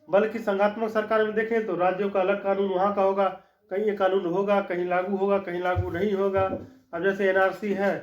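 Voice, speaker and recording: High-voiced man lecturing in an echoey room. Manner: explanatory, repeats key points, frequent "ठीक" check-ins. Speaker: law teacher. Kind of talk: classroom-style lecture. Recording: echoey room, dull lo-fi sound.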